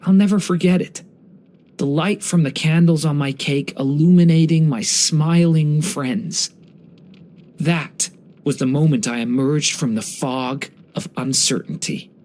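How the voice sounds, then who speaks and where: Game role: young dragon in boy's form played by an adult actor, mid-range pitch, gentle, polite, curious and a little hesitant. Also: measured pace, lightly acted. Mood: earnest, reflective. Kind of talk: scripted game character voice lines.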